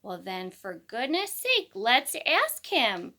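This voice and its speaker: A woman's voice: a little high, wildly swooping pitch, slow pace, pushed and bright, heavily acted. A woman doing an eager young kangaroo boy.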